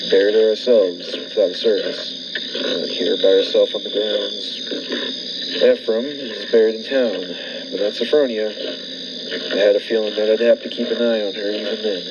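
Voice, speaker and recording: A deranged, murderous husband played by a man in an old radio thriller, speaking low. He is folksy yet coldly menacing and insistent. The sound is dull, with clear noise over it. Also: fairly even intonation, moderate pace, close to an everyday voice. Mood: calmly sinister. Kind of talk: 1940s radio thriller acting.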